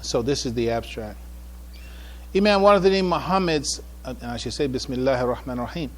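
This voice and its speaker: A man giving a public address as a presenter, speaking in a halting way with repeated phrases and restarts. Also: medium pitch, animated. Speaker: conference speaker presenting a paper. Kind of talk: public address, informal preamble then reading a paper aloud. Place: public event before an audience.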